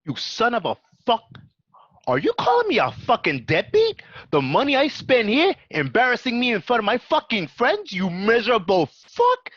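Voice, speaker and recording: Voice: medium pitch, huge pitch swings, moderate pace, dry recording. A performer playing a volatile, foul-mouthed, aggressive mobster in an amateur radio play. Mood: enraged. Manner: aggressive, profane. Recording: dry, dull home recording.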